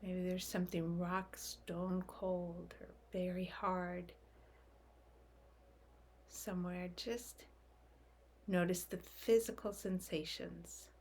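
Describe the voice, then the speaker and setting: Slow, lower-pitched woman guiding meditation. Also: slightly rough. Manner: gentle, unhurried, calming, with long pauses. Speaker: guided meditation teacher. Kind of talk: guided meditation.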